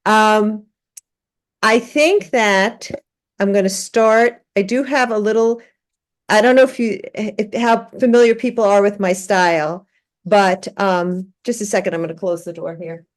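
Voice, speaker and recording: A woman teaching an online class, giving a relaxed orientation with many fillers and restarts. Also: medium pitch, a little slow. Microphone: video call.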